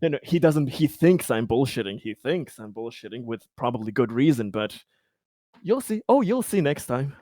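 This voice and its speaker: A man chatting casually after a tabletop game, excitable, stammering and swearing freely. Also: medium pitch, normal speed, no room sound, clean studio sound. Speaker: tabletop roleplaying game player. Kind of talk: casual post-game chat.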